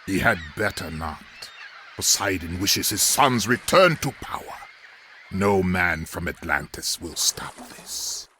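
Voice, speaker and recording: An actor playing an arrogant, threatening cyclops warlord in a video game, gritty and imperious in a theatrical way. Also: male voice, low voice, expressive, takes it slowly, slight background noise, heavily acted. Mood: grim. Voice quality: gritty, forceful. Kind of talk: video game cutscene acting.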